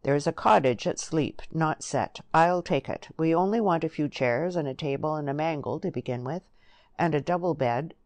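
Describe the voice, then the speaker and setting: A woman's voice: low-pitched, moderate pace, a little mellow, dry recording. A woman voicing a smitten, earnest young countryman in a storybook, with blunt rural English dialect. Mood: eager, insistent.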